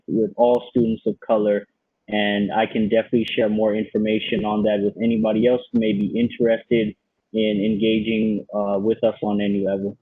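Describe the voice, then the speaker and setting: A man's voice: fairly low pitch, gravelly, no room sound. A young man, a graduate student who grew up in Miami, introducing himself earnestly on a panel over a video call. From Bahamas and Haiti, raised in Miami. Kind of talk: prepared self-introduction. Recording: dry, phone-like video call audio.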